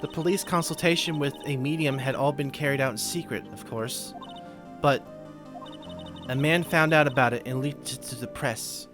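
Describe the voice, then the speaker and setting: A man voicing a forlorn, soft-spoken young orphaned woman who is a spirit medium, halting and earnest, in an amateur game voice-over. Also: mid-range voice, music in the background, lightly acted. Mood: somber.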